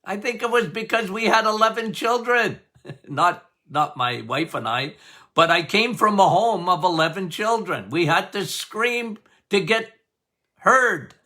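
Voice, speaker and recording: An older man hosting a health podcast as a doctor, talking loudly and passionately, with frequent laughs. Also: high voice, animated, measured pace. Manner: loud, emphatic, passionate, laughs often. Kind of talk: casual health podcast talk.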